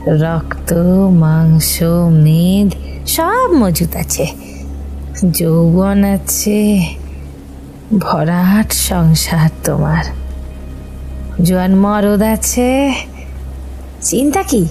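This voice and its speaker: A woman playing a gaunt, sinister ghost of a village woman in a horror audio story, hungry and bitter, muttering menacingly in drawn-out words. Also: medium pitch, warm tone. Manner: slow muttering, drawn-out, menacing speech, at times breathy whispering.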